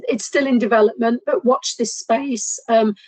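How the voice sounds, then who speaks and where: A hoarse woman from a safeguarding board making an announcement in an online meeting, speaking hesitantly with frequent ums. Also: on the high side, steady tone, normal speed, a little mellow, no echo, poor audio.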